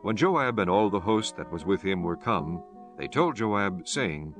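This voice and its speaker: A man's voice: sits low, lively intonation, a little slow. A man narrating a dramatized scripture audiobook in a scripted, formal reading style. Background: instrumental music.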